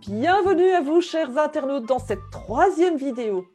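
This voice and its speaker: Upbeat woman host, slightly high voice, laughs between questions, video call. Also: lively intonation, moderate pace, a little mellow, faint music behind. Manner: upbeat, with frequent laughs and hesitations. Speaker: interview host. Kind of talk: warm video interview.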